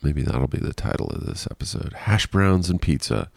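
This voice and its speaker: A man's voice: extremely low pitch, expressive, normal speed, gravelly, dry recording. A man hosting a casual solo podcast, rambling and self-deprecating with frequent laughs, sounding congested and nasal. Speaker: podcast host.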